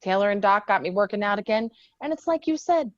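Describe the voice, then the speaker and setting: A woman's voice: medium pitch, animated, fast talker, no room sound, dull audio, lightly acted. A woman playing a guarded, stubborn, wounded young Marine veteran in a screenplay table read.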